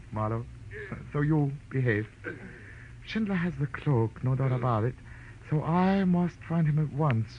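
Swooping, silky radio villain. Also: male voice, on the high side. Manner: silky, gloating, theatrically polite. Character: smug scheming male crime boss, suave and gloating. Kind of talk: 1940s radio drama acting. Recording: dull, noisy vintage radio recording.

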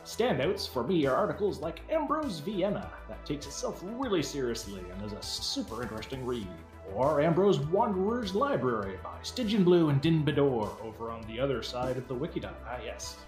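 A man co-hosts a casual podcast with an animated, widely swinging delivery. He is enthusiastic and swears freely. The sound is roomy and echoey, with faint music underneath. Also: fairly high pitch, a little fast, few pauses. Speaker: podcast co-host. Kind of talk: casual chat.

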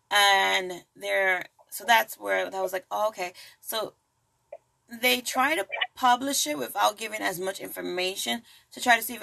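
A woman's voice: medium pitch, creaky, sharp tone. A woman hosting a true crime podcast in a casual, chatty way, full of asides and jokes. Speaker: podcast host.

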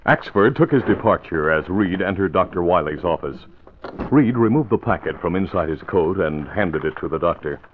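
A man's voice: deep voice, expressive, moderate pace, slight background noise. A man announcing and narrating in scripted 1940s radio style. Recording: muffled, phone-like old radio recording.

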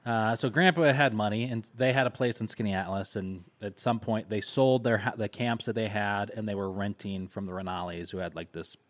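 A man hosting a podcast, talking in a relaxed, rambling way and swearing now and then. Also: lowish voice, brisk, hardly any pauses. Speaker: podcast host. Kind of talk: casual conversation.

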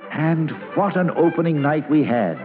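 A man's voice: a little high, lively intonation, moderate pace, natural voice. A man playing a grown actor who fondly recalls his boyhood in a folksy old-time radio drama narration. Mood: nostalgic. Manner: nostalgic, folksy storytelling. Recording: tinny vintage radio, loud music behind.

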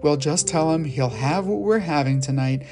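A woman's voice: low voice, normal speed, music in the background. A woman voicing an easygoing, reassuring father in a children's story. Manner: calm, pleading, upbeat. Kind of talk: storybook character voice.

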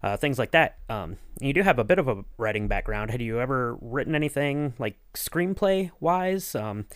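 A man with a mid-pitched voice acts as a podcast interviewer. He sounds casual and agreeable, wandering hesitantly through his sentences. The recording is dry and free of room sound. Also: animated, moderate pace, dry recording. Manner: hesitant, agreeable, rambling questions.

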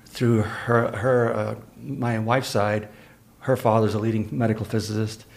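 This is a middle-aged man whose first language is Spanish, speaking on a podcast. His voice sits a little low, and he talks in a measured, matter-of-fact way during casual conversation. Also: level tone, vocal fry.

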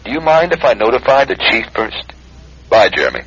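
A man's voice: medium pitch, sing-song, brisk, a bit bright. An actor playing a dry, businesslike male police sergeant in a radio drama. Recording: dull, faintly noisy radio recording.

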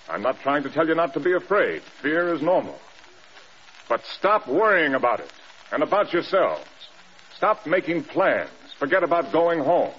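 An actor with an American accent playing a stern, blunt air force general in an old-time radio drama. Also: male voice, a little high, a bit bright. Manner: commanding, clipped, blunt. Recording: dull old radio recording, clear noise.

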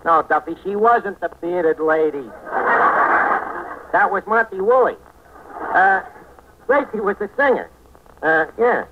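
A man's voice: sits high, expressive, measured pace, long pauses. Bumbling barman, scratchy radio. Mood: eager, bumbling. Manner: hesitant, hemming and hawing, malapropisms. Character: bumbling, scheming male tavern manager, cheerfully uneducated. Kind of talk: 1940s radio comedy acting. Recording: scratchy, narrow-band 1940s radio recording.

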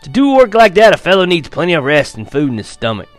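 A man voicing a cheerful, booming old grandfather in a spoken story, loud and hearty. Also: very animated, quick pace, no echo, soft background music.

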